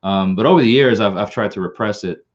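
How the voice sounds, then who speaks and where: Man, long island accent, low-pitched, rambling live-stream chat, dull lo-fi sound. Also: quick pace. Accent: Long Island New York. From Long Island, New York. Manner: rambling, with many repeated words and restarts. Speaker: channel host.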